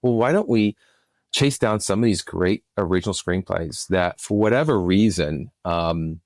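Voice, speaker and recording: A man's voice: low-pitched, normal speed, darkish tone, no room sound, studio quality. A middle-aged man speaking as a producer being interviewed, answering in an earnest, emphatic, conversational storytelling way. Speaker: guest, film and podcast producer.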